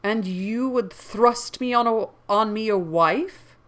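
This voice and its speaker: Female narrator as angry gentleman, classic novel character, commanding, scornful, curt, defiant. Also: on the high side, slow pace, pitched above the performer's own voice.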